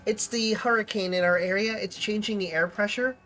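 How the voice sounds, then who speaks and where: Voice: mid-range voice, fairly even intonation, brisk, a bit bright, soft background music, poor audio. A streamer with a stuffy, sniffly nose, chatting casually and rambling while playing a game. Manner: chatty, rambling, trailing off mid-sentence. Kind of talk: casual gaming chat.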